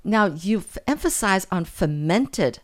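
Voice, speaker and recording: A woman from Taiwan hosting a radio interview, asking measured questions and affirming often. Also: mid-range voice, expressive, no echo, studio quality, soft background music. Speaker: radio show host. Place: studio.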